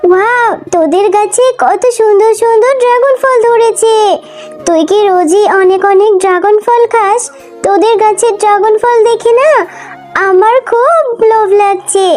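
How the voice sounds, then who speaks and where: A woman as a squeaky, sweet little fox child. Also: normal speed, a little mellow. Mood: eager, wistful. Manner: childlike, coaxing. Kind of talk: children's cartoon story dialogue. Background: music.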